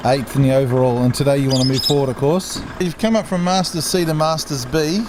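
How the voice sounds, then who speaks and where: A man's voice: medium pitch, steady tone, fairly quick, noisy background. A man asking questions in a casual on-site interview.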